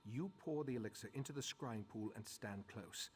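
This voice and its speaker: An actor playing an earnest, authoritative master of a mage order in a video game, measured and formal. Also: male voice, fairly low pitch, natural voice. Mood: serious, instructing. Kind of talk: video game character acting.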